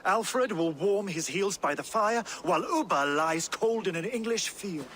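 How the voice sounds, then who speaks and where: An actor playing a hot-tempered, vengeful Viking warlord in a video game, with archaic, grandiose speech. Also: male voice, high-pitched, animated, measured pace, fairly bright tone, slight background noise. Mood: brooding. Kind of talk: dramatic game cutscene acting. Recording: music behind the voice.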